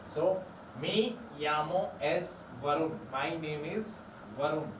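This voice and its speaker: A man teaches a class, stretching his words and repeating each phrase at an unhurried pace. His voice sits a little high. He is heard from far off in an echoey room, with steady noise behind him. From India. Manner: slow, repetitive explaining, drawn-out words. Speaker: Spanish teacher. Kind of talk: classroom lesson.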